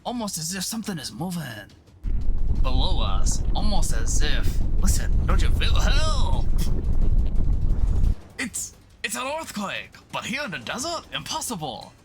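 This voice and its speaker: Man acting an alarmed comic-book plant worker, very animated. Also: on the high side, a little slow, loud music behind the voice. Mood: uneasy. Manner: melodramatic, alarmed. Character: panicked French male atomic plant worker in the desert. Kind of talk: dramatic comic-book reading.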